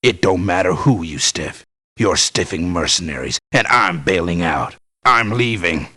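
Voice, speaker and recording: An actor playing a blunt, cynical, tough-talking mercenary in a video game. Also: male voice, deep voice, lively intonation, unhurried, bright, forceful tone, no echo, studio quality, exaggerated voice. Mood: defiant, fed up. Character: gruff, blunt male mercenary, cynical about pay. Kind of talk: video game character voice lines.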